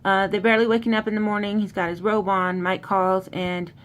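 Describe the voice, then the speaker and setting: A woman's voice: level tone, a little fast. A woman giving a casual, conversational book recap with commentary, speaking informally and using slangy turns of phrase. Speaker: host of a horror book recap. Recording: clearly noisy, low background rumble.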